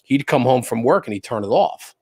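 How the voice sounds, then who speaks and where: A middle-aged American man talks in an average-pitched voice with a dry, room-free sound. He is an opinionated interview guest, casual but emphatic, building his points through repetition and quick check-ins with the listener. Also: lively intonation, a little mellow, slightly rough, dry recording. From New Jersey, United States. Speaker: interview guest, fire service spokesperson and former chief.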